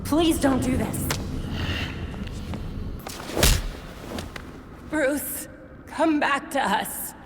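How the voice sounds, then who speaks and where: A woman's voice: high-pitched, slow pace. An actress playing a loyal, determined young female superhero in a dramatic video game scene. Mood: desperate pleading. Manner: earnest, determined. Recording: echoey reverberant space, loud background noise.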